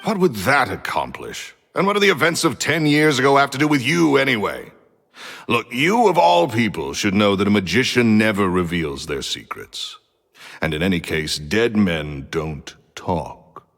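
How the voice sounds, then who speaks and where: Gruff, impatient retired magician. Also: male voice, fairly low pitch, sing-song, moderate pace, fairly bright tone, exaggerated voice. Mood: stern. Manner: curt, blunt, impatient. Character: gruff retired male magician, short-tempered. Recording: reverb with game music.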